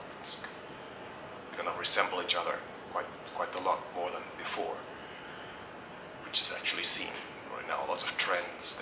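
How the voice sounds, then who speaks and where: A middle-aged man from Germany answers interview questions thoughtfully, hesitating and restarting often. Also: deep voice. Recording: echoey, distant mic, noisy background.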